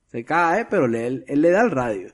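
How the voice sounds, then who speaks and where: A man, co-hosting a casual film podcast, retelling scenes with slang, cursing and frequent restarts. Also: on the high side. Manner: storytelling, swearing freely, many restarts. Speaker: podcast co-host.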